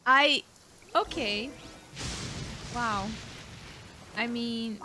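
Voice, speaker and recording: High-voiced woman reacting to a game. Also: very slow, loud music behind the voice. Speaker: gaming streamer. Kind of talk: casual let's-play reaction commentary.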